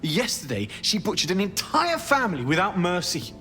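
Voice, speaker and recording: An actor playing a guarded, devout, solemn holy warrior in a video game, speaking earnestly and formally. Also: male voice, sits high, huge pitch swings, moderate pace, pushed and bright, soft background music, exaggerated voice. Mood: grim, indignant. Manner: earnest, urgent, formal warning. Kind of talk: video game character acting.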